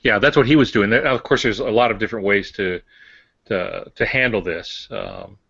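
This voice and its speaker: A man with a fairly low voice explains things in casual podcast talk, pausing and feeling his way through sentences with dry humor. The sound is close and dry, though somewhat dull and lo-fi. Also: vocal fry, bright, forceful tone, breathy edge, no echo. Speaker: fiction writer, podcast panelist. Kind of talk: casual talk on writing craft.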